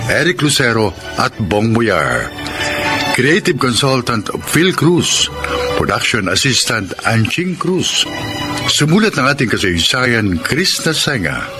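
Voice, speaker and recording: A man with a Filipino accent giving solemn, emphatic scripted narration as the announcer of a drama show. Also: medium pitch. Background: music.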